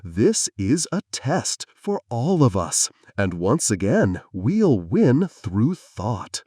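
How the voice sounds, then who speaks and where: A man's voice: medium pitch, very animated, slow pace, mellow, dry recording, studio quality. A man voicing a small, wise, determined crow hero in a children's fable, earnest and proclaiming. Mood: solemn, encouraging.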